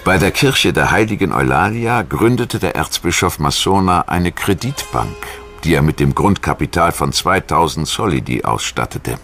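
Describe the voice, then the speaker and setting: A man reading scripted documentary narration in a measured, formal manner, as a studio voice-over. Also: low voice, animated, creaky, a bit bright. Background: music.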